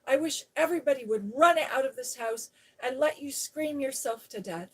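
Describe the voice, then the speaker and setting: A woman voicing a fierce, bossy little girl who shouts and scolds. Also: mid-range voice, fairly even intonation, slow pace. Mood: furious. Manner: shouting, commanding.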